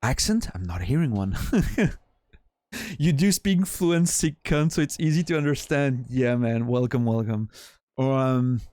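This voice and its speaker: Man, Swiss French accent, native French speaker, streamer chatting to viewers, swears a lot, restarts sentences, mid-pitched voice, dry close sound. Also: lively intonation, unhurried, a little mellow, no room sound. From Switzerland. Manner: rambling and profane, with frequent restarts. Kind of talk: casual chat with chat viewers.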